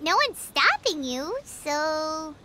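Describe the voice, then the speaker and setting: Very squeaky, bossy little fairy companion. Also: female voice, sing-song, slow, background noise, full character voice. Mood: skeptical. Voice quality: squeaky, childlike. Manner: blunt, exclamatory, teasing. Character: tiny childlike fairy companion, bossy, cheeky, impatient, eager. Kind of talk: video game voice acting. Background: game background music.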